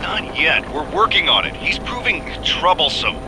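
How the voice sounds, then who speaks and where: Ruthless field commander. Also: male voice, a little high, big pitch swings, moderate pace, very noisy. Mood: commanding. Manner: curt, commanding. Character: ruthless male field commander ordering an attack.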